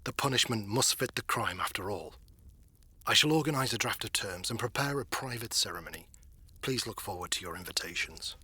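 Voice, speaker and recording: A man acting as a scholarly royal envoy in a fantasy audio drama. His voice is very raspy with a hard, forceful edge, and he speaks in composed, courtly, deliberate phrases. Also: on the low side, animated, no room sound, full character voice. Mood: composed, grave. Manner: formal, deliberate diction.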